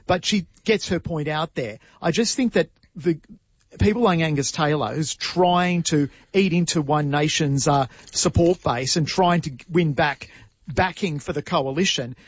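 Breathy higher-voiced co-host man. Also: unhurried, no room sound, muffled recording. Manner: opinionated, steady commentary. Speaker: radio co-host. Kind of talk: talk radio discussion.

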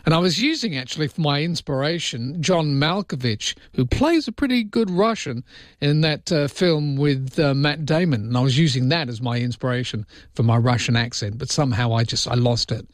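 A man's voice: mid-range voice, moderate pace, no room sound, studio quality. A man hosting early-morning talkback radio, chatty and self-deprecating, with rambling asides. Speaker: radio host.

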